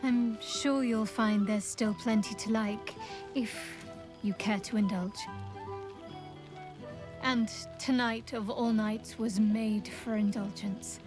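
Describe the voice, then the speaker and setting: A woman playing a devout, commanding young dark priestess in a video game, speaking in a measured, formal, wry and intimate way. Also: on the high side, fairly even intonation. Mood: coy, intimate. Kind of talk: video game character dialogue. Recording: game dialogue over background music. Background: music.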